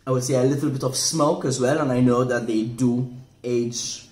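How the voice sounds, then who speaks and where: A man in his forties, casually reviewing a wine at a table indoors, relaxed and thoughtful, often repeating words as he restarts. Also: medium pitch, normal speed. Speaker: wine shop manager reviewing wine. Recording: echoey room with faint noise.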